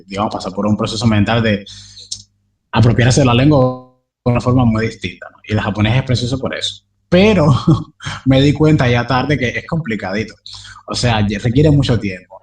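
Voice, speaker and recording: A man's voice: fairly low pitch, far from the mic. A young man talking casually as an interview guest, rambling with many fillers and restarts. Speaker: polyglot interviewee.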